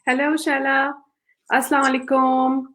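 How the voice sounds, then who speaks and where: A middle-aged woman from Pakistan, a psychiatrist guest, talking softly and gently with frequent hesitations. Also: high-pitched, flat delivery, moderate pace. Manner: soft, gentle voice with many hesitations. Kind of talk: interview answers.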